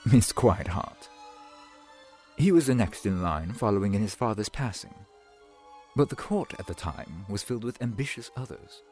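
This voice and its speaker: A man playing a courtly, doting centuries-old vampire boyfriend in an ASMR roleplay, speaking in formal, archaic, gently attentive phrases. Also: lowish voice, lively intonation, normal speed, faint music behind, lightly acted. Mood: fond, gently teasing.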